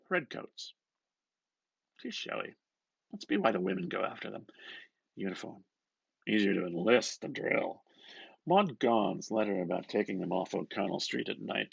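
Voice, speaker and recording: A man gives an expressive, theatrical reading of a literary novel, moving between murmured asides and louder phrases. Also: mid-range voice, creaky, husky, no echo, dull audio. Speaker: reader of a novel.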